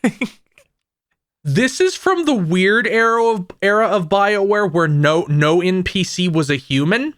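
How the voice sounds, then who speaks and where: A man's voice: high voice, animated, a bit bright, no echo, clean studio sound. Chatty man rambling about games. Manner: rambling, many restarts and fillers, quick asides. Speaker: podcast co-host. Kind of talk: casual gaming podcast chat.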